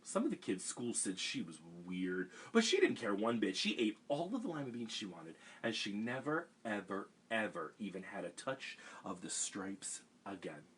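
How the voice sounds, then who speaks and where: A man reading a picture book aloud for a children's storytime, animated and expressive. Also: lowish voice, moderate pace, hardly any pauses, slight background noise.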